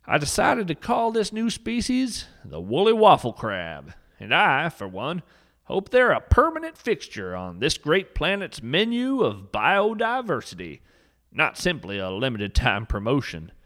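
A man's voice: on the high side, wildly swooping pitch, a little slow, a bit bright. A man narrating a scripted story with dry, mock-serious humor.

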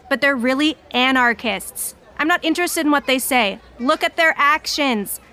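An actress playing a self-righteous, defensive pro-police counter-protester, speaking emphatically in an audio drama street interview. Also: female voice, high-pitched, sharp tone. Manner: emphatic, indignant.

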